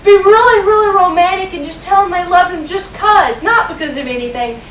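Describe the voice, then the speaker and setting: A woman's voice: very high voice, fairly quick, fairly bright tone, a little hiss or hum. A woman playing a gushing starry-eyed romantic. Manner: breathless, gushing, rambling with many restarts. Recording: echoey room, far from the mic.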